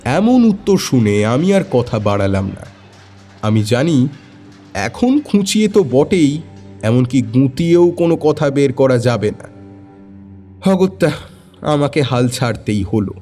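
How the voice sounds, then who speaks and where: A man narrating an audio story in the first person, in a dramatic, expressive storytelling style. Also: mid-range voice, unhurried, long pauses, faint music behind.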